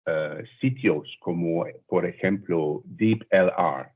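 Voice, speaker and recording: Man, student being interviewed, halting careful Spanish, low husky voice, tinny video-call sound. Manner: halting learner's Spanish, slow self-corrections. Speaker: language student. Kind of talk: casual interview. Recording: tinny phone-like call audio.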